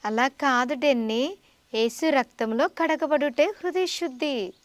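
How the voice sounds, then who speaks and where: Puppet show teacher: high-pitched woman, patient and instructive, explaining to a child, recorded dry. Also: slow, no room sound.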